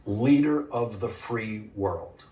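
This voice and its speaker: Male TV pundit, grave and emphatic, mid pitch, heard in a roomy space from a distance. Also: slow pace, mellow. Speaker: TV political commentator. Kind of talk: broadcast commentary. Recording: roomy, distant TV clip audio.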